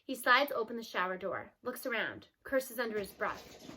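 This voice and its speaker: A woman reading a novel aloud, expressive, with lively shifts in tone. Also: fairly high pitch, normal speed, slightly rough, poor audio. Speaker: reader.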